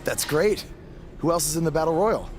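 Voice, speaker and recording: Young underdog game wrestler played by a man: modest, earnest, slightly high voice, faint background noise. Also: lively intonation, moderate pace, darkish tone, close to an everyday voice. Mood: easygoing. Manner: sincere, modest. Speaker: professional wrestler. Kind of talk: video game dialogue.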